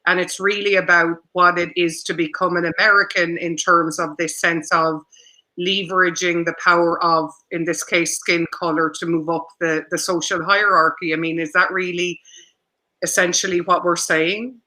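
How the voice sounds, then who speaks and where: Very bright, even-toned male moderator. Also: high-pitched, moderate pace. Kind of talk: moderating a panel discussion.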